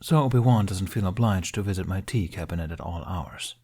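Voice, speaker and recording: A man's voice: low voice, a little fast, mellow, slightly rough. A man voicing a composed, cryptic man in an audiobook, speaking with formal, understated dry politeness. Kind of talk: audiobook character voice.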